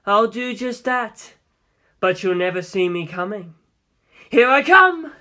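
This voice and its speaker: A man's voice: high-pitched, sing-song, slow, sharp tone, pitched above the performer's own voice, exaggerated voice. A man voicing a cocky, grinning fighter with a showman's flair, taunting and exclaiming in a story.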